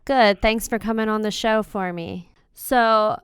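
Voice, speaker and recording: A woman's voice: mid-range voice, unhurried, clean tone, dry recording. A woman hosting a podcast, talking in an informal, chatty way as she does a casual interview. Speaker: podcast host interviewing relatives.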